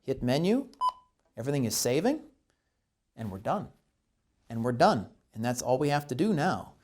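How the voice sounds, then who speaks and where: A man presenting a step-by-step instructional demonstration, explaining each step deliberately. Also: medium pitch, big pitch swings, noisy background.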